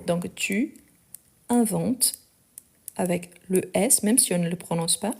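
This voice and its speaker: A woman teaching a live online French lesson, speaking slowly and carefully, repeating each sentence and spelling out the verb endings. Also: fairly low pitch, creaky, darkish tone, slightly rough.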